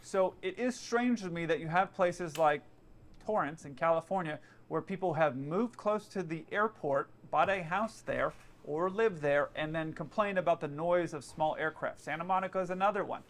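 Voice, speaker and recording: A man with a noticeably high-pitched voice gives explanatory commentary. He talks casually and offers his opinions plainly, like someone who knows the subject firsthand. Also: moderate pace. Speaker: pilot giving commentary. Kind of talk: explanatory commentary on air traffic radio.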